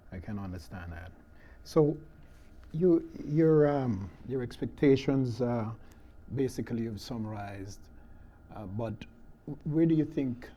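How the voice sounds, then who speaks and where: A man interviewing a guest, asking hesitant questions and murmuring agreement in a soft-spoken way. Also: lowish voice, slight background noise.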